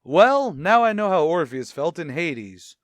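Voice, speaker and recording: Man playing a dignified, dry, smooth-voiced music critic. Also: a little high, dry recording. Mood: dry, deadpan. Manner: formal, deadpan, measured. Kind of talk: old-time radio sitcom acting.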